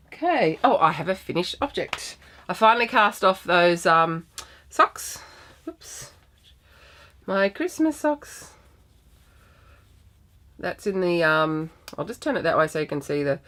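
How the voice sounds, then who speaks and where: An Australian woman hosting a knitting podcast, a bit croaky from a sinus infection, chatting in a rambling, friendly way with many ums. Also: fairly low pitch, a bit bright. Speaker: podcast host. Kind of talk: casual knitting podcast chat.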